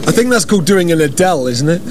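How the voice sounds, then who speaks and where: A man with a notably high voice conducts an interview. He moves things along briskly and teases his guest. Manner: teasing, brisk. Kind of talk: playful interview questions.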